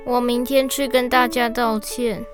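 A woman's voice: a little high, level tone, unhurried, music in the background, natural voice. A woman voicing a shy, earnest little puppy boy who delivers mail in a children's audio drama, childlike, eager and sincere. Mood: apologetic.

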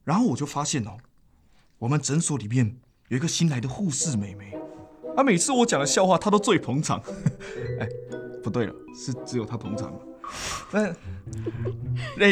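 A man's voice: on the high side, sing-song, normal speed, over music. An actor playing a chatty, good-hearted young man in a radio drama, rambling colloquially with a Taiwanese Mandarin accent. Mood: bashful, excited. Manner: colloquial, rambling, sentence-final particles.

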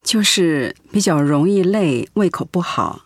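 Tired middle-aged mother, stoic, devout, calm, dry studio sound, radio drama. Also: lowish voice, measured pace, dry recording. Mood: tired, plain. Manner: calm, accepting. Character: weary, devout pastor's wife, stoic ailing mother. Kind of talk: Mandarin radio drama acting.

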